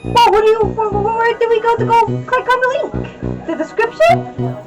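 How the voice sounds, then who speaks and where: A woman playing an excitable, naive sidekick who asks curious questions in a video skit. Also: very high-pitched, normal speed, loud music behind the voice, pitched above the performer's own voice, exaggerated voice. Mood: puzzled, excited.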